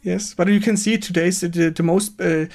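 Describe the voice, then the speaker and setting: Gravelly, halting German-accented game streamer. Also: mid-range voice, steady tone, darkish tone, faint music behind. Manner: halting, searching for words in a second language. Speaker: stream host. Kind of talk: casual live game stream chat.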